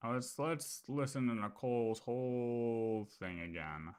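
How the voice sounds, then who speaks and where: A man's voice: medium pitch, measured pace, clear, resonant tone. A man chatting casually on a game livestream, arguing his points insistently and repeating himself. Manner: argumentative, repeats points, swears. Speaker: game streamer. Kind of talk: livestream reaction, casual chat.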